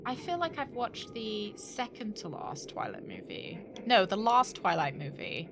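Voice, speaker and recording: A woman's voice: medium pitch, big pitch swings, measured pace, background noise. A British woman chatting casually and thinking aloud to viewers as she streams a video game. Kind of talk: casual chat while playing a game.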